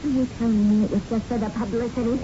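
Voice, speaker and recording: An actress playing a jilted, possessive young chorus girl in a 1970s radio drama, speaking with sharp-tongued intensity. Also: a little high, steady tone, very fast, close to an everyday voice. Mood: hurt, pleading. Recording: muffled, faintly noisy old radio broadcast.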